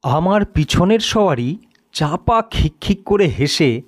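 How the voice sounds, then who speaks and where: A man's voice: fairly high pitch, sing-song, slow. A man narrating a scripted story in a dramatic storytelling style.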